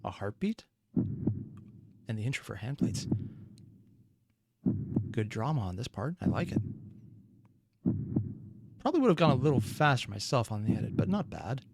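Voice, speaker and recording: A man's voice: mid-range voice, big pitch swings, normal speed, vocal fry, long pauses, heavy background noise. A man giving casual, analytical reaction commentary, musing aloud as he thinks through what he watches. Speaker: reaction video host.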